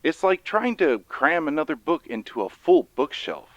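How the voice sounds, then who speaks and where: A man's voice: fairly high pitch, very animated, normal speed, no room sound, muffled recording. An actor playing an earnest male professor who explains urgently and emphatically in an audio drama. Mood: grave warning. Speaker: professor, researcher. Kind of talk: dramatized audio fiction monologue.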